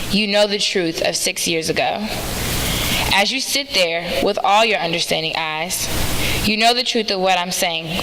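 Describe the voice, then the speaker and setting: A young woman reading poems aloud at a university read-in, slow and deliberate in her recitation. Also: medium pitch. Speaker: student reader. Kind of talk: poetry reading at a public read-in. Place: university event hall. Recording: hissy event recording with long hall reverb.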